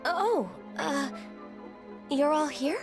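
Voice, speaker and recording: An actress playing a gentle, anxious, deferential young woman in a video game, speaking hesitantly and politely. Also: high voice, expressive, very slow, exaggerated voice. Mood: apologetic. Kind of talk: video game character acting. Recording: light music behind.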